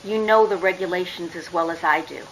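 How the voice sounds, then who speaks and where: Lowish female voice, authoritative space commander played by an actress, clipped, official, radio drama, noisy track. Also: brisk, lightly acted. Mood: stern. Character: firm female starship commander, brisk and authoritative. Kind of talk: dramatic radio play acting.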